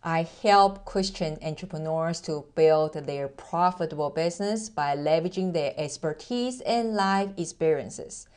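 A woman's voice: low-pitched, darkish tone, clean tone. A woman from Taiwan with a mixed accent built on Taiwanese Mandarin, giving an earnest, encouraging talk as a business coach. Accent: mixed, Taiwanese Mandarin-based with American and British traces. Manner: earnest, encouraging, slow and deliberate. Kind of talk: motivational vlog address.

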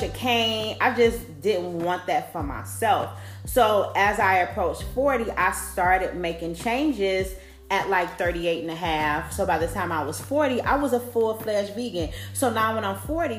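A woman in her forties speaks frankly and profanely, filling her sentences with casual fillers as she talks straight to her listeners. Her voice sits at an ordinary middle pitch. The room around her is echoey, and faint music plays underneath. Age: 40s. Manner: blunt, profane, conversational, says "like" a lot. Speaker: podcast host. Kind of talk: candid personal monologue.

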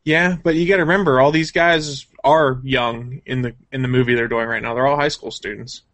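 A man's voice: mid-range voice, steady tone, brisk, a bit bright. A man co-hosting a show, chatting casually and giving his opinions as a keen fan. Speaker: co-host of a gaming and comics news show. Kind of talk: casual chat about comics and cartoons.

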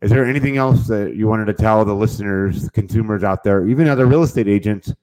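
A man hosts a podcast on real estate, upbeat and rambling, with many "uh"s. His voice sits fairly low. He makes promos and asks questions in a loose, friendly flow. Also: fast, darkish tone, low-quality recording. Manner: upbeat and rambling, heavy on fillers. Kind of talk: podcast interview hosting.

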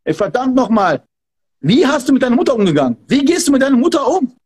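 Fired-up guy w a high husky voice, keeps repeating himself for emphasis. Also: very animated, fast talker, a little mellow. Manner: emphatic, repetitive, fervent. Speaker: martial arts instructor. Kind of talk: passionate podcast talk.

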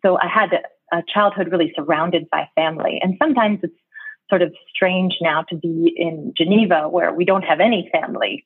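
A reflective American woman in her thirties, a guest speaking from Brooklyn roots. Her voice comes through a thin, telephone-like video call line, a little husky and very dry. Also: fairly low pitch, no echo. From New York City, Brooklyn. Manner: thoughtful, reflective, laughs easily. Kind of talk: conversational interview. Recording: tinny phone-like call line.

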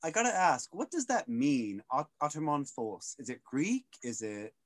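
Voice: medium pitch, very animated, moderate pace, no echo, clean studio sound. A host asking questions in a playful, joking, bawdy manner. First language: English. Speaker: podcast host. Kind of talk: casual interview.

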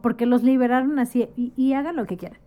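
Mellow, higher-voiced woman explaining conversationally. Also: quick pace, a little hiss or hum. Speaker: interview guest. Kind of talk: casual explanatory conversation about history.

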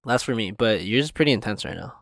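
A man's voice: lowish voice, animated, fast talker, gravelly, a bit bright, dry recording. A young man co-hosting a casual podcast chat, asking curious questions, swearing casually and trailing off mid-thought. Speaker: podcast co-host.

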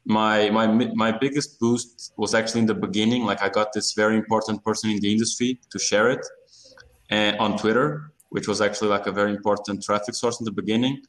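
Low-pitched man in casual interview. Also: steady tone, a little fast, fairly bright tone, rough recording. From Portugal. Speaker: startup founder, interview guest.

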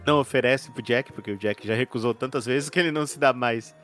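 A man's voice: medium pitch, quick pace, a bit bright. Male tabletop player, playful descriptive improvised narration over a music bed. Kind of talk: improvised narration of a roleplay game.

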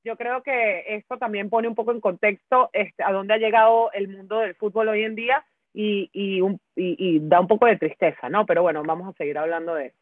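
Brief: expressive woman from Brazil, co-host, dry tinny phone-like sound. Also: mid-range voice, a little fast, hardly any pauses, dry recording. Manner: indignant, expressive. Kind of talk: casual sports chat.

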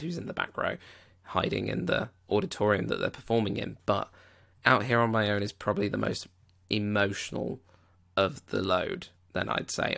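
Low gravelly-voiced man reviewing films. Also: fairly bright tone. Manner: enthusiastic, digressive, many "you know" fillers. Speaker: film podcast host. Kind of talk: casual film review monologue.

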